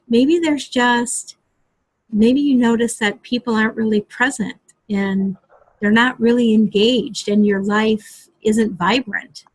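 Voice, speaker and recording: A woman's voice: medium pitch, no echo, low-quality recording. A woman hosting a live stream interview on a video call, talking hesitantly with many ums and restarts. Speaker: host.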